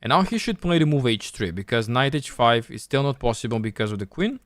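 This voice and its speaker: A man's voice: medium pitch, animated, fairly quick, no room sound, studio quality. A man from Serbia with a Serbian accent, thinking aloud and explaining step by step as he coaches on a live chess stream.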